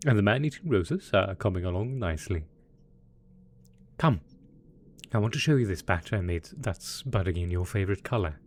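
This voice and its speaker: A man's voice: low voice, animated, normal speed, pauses often. A man playing a gleeful, smug and possessively doting mad scientist supervillain boss in a theatrical, playful roleplay audio drama. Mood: manic cheer. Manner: theatrical, playful, mock-innocent, chuckling.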